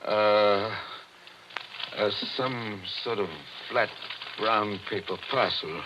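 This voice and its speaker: A genteel, lonely attorney with a very deep voice and measured, formal phrasing. He sounds wistful and haunted. The sound is an old, muffled radio broadcast with a faint hiss. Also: fairly even intonation. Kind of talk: 1940s radio drama acting.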